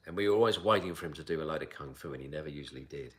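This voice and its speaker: A man of around sixty from England, accent softened by years in Asia, answering viewer questions in a calm, measured live chat as a kung fu teacher. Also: very deep voice. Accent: English accent softened by long years in Asia. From south London, England. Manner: calm, measured, unhurried with frequent pauses. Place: outdoor mountain training area.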